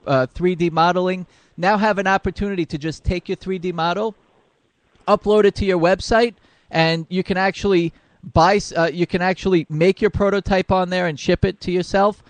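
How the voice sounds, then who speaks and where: High-voiced eager radio host, dry sound. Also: male voice, no echo. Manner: eager, rambling questions while searching for words. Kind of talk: radio interview.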